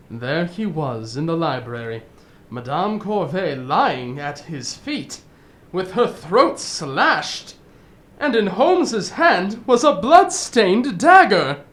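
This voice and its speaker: A woman playing a genial, easily flustered older gentleman storyteller in a radio drama, with a proper, old-fashioned way of talking. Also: on the low side, a little hiss or hum. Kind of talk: radio drama narration and dialogue.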